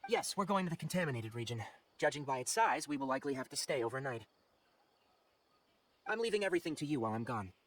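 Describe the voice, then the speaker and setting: A man playing a calm, expert young forest ranger and mentor in a video game, speaking in a measured, articulate, instructive way. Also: medium pitch, lightly acted. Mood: matter-of-fact. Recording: game music behind the voice.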